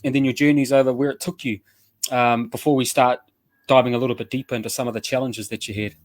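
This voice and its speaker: A man with a New Zealand accent warmly hosts a casual radio chat in an even middle register. He sounds upbeat and loose and keeps restarting phrases as he talks. The mic is close and the room is dry. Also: mid-range voice, quick pace, dry recording. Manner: upbeat, relaxed slang, frequent restarts. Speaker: show host.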